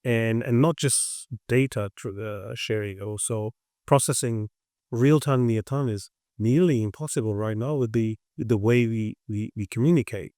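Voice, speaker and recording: Man, smooth clear voice, emphatic delivery, repeats words, industry conversation, dry sound. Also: medium pitch, normal speed, warm tone, no room sound. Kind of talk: animated industry discussion.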